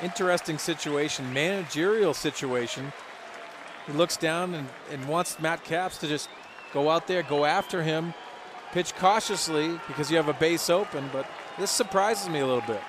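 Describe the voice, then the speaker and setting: An American man with a fairly high voice works as a radio baseball analyst. He explains plays conversationally, rephrasing as he goes, with a noisy stadium crowd behind him. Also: moderate pace. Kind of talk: live sports analysis. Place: stadium broadcast booth. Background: ballpark crowd and stadium ambience.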